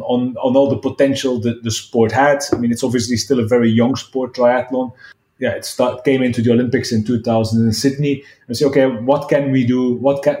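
A man's voice: medium pitch, mellow. A middle-aged man from Belgium, a guest in a podcast interview, talking with many restarts and fillers. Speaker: guest, sports event founder. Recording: roomy echo.

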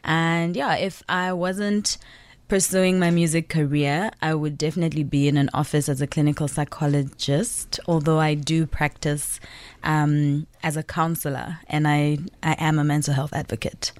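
A young woman from Zambia, a musician guest on a radio interview, answering in a measured, articulate way. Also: low-pitched, little pitch movement, no echo.

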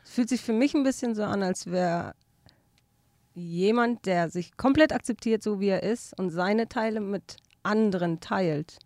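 A woman's voice: medium pitch, unhurried, a little mellow, dry recording, studio quality. A woman interviewing musicians, chatty and laughing often as she asks her questions. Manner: chatty, laughs often, switches between German and English.

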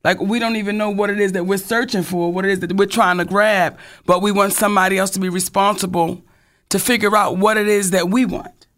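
A woman co-hosting a talk show, speaking in an expressive, singsong way. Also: medium pitch, a little fast. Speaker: co-host.

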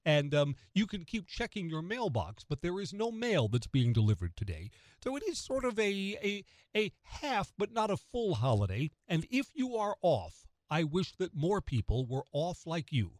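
American radio host, very lively higher-pitched man. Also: moderate pace, few pauses, no echo. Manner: conversational, rhetorical questions to the listener. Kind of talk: opinion monologue on morning talk radio. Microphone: close studio radio microphone.

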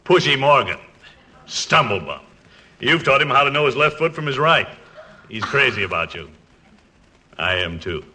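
An actor playing a crusty, hearty older college trustee, gruff and impatient, in a 1940s radio comedy. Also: male voice, mid-range voice, sing-song, moderate pace, pauses often, sharp tone. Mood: genial. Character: blustery, crusty, jovial old college trustee. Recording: faintly noisy old 1940s radio recording.

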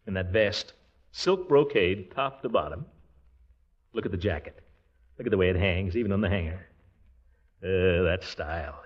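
A man's voice: deep voice, normal speed, pauses often. A man playing a pompous, easily flustered middle-aged uncle in 1940s radio comedy style, theatrical and blustering. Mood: proud, nostalgic. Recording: dull vintage 1940s radio recording.

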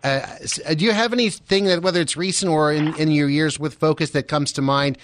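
A man's voice: a little high, a little fast, fairly bright tone, a little hiss or hum. A man hosting a radio interview in a conversational, encouraging and affirming manner.

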